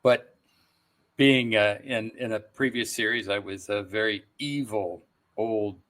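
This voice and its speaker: A man's voice: fairly low pitch, a little slow, fairly bright tone. An older man chatting casually as a guest actor in an interview, easygoing and given to chuckling. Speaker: voice and stage actor, podcast guest.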